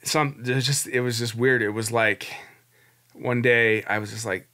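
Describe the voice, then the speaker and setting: A man's voice: medium pitch, steady tone, measured pace, pushed and bright. A man in his forties, a guest on a podcast, telling his story in a candid, reflective, rambling way. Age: 40s. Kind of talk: casual interview storytelling.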